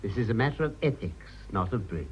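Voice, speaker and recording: An actor playing an urbane, teasing elderly gentleman in a radio period comedy, with a suave, wry, ornately wordy manner. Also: lowish voice, expressive, brisk, slightly rough. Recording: slightly muffled radio drama recording.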